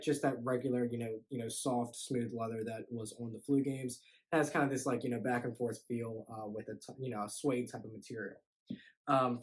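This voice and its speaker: A man's voice: medium pitch, darkish tone, poor audio. A young man in his twenties with a stuffy, congested voice, talking casually to the camera through a sneaker unboxing, with lots of fillers and "you know"s. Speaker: sneaker YouTuber. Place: indoor room with shoe boxes.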